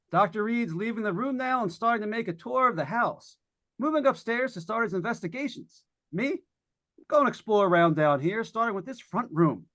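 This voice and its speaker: A man plays a down-to-earth skeptic reporting from a haunted house in a radio suspense drama. His pitch moves around a great deal. He talks informally and haltingly, with a jumpy edge. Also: fairly bright tone, heavily acted. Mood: casual, skeptical. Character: plain-spoken skeptical male broadcaster exploring a haunted house. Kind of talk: live old-time radio suspense acting.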